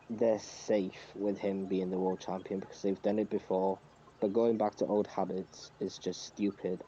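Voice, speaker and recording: Through a dull, slightly noisy mic, a man with a mellow voice talks as a podcast panelist. He gives casual opinions and makes his points emphatically. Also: sits low. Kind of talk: casual opinionated sports chat. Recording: muffled, noisy remote microphone.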